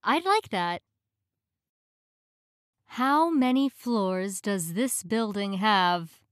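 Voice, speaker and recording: A woman reading short English practice phrases in a clear, measured way, each one said twice. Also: medium pitch, animated, no echo, clean studio sound.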